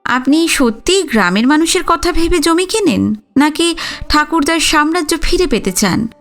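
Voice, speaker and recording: An actress playing an educated young daughter-in-law in an audio story, respectful but probing, with a polite, pointed, articulate delivery. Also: female voice, high-pitched, lively intonation, normal speed, soft background music. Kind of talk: Bengali audio story acting.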